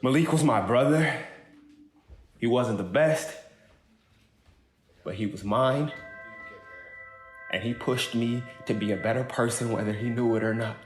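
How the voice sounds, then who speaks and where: An actor playing a grieving, earnest younger brother in an audio drama monologue, speaking haltingly. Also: male voice, mid-range voice, natural voice. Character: grieving kid brother giving a heartfelt eulogy. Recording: roomy echo, music underneath.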